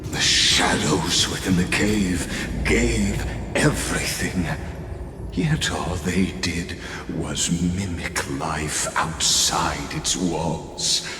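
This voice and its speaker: A coldly philosophical game sage, stately and grandiose; reverberant hall sound with music underneath. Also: male voice, sits low. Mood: grave, scornful. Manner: slow, deliberate, stately delivery. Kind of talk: theatrical video game voice acting. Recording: echoey long reverb under loud music.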